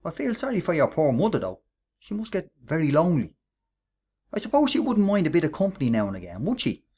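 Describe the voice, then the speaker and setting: Male actor as bullying Irish ringleader, husky and swooping in pitch, sarcastic, menacing, radio drama. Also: wildly swooping pitch, quick pace, mellow, exaggerated voice. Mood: sly, menacing. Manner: taunting, sarcastic, bossy. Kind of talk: radio play acting.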